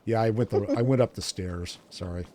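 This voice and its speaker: Low, mellow man chatting while gaming. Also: a little fast, slight background noise. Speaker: gaming show co-host. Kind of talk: casual gameplay chat.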